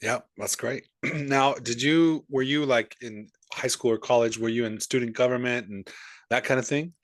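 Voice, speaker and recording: A friendly male host leads a relaxed interview, upbeat and chatty, often restarting his sentences. His voice has a middle pitch. The sound is dry and close, with no room around it. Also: moderate pace, no echo. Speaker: podcast host. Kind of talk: casual interview chat.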